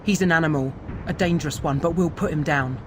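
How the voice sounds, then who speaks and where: Woman voicing a tough game sidekick, terse and determined, lots of rumbly noise behind her. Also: a little fast, natural voice. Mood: resolute. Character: tough, determined female combat aide to an inquisitor. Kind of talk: dramatic video game acting.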